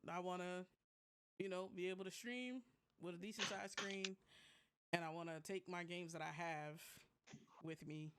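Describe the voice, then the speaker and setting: A podcast co-host with a mid-pitched voice. The talk is casual and animated, full of informal slang, like friends chatting about gadgets and games. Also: normal speed, long pauses, very noisy. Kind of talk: casual tech and gaming chat.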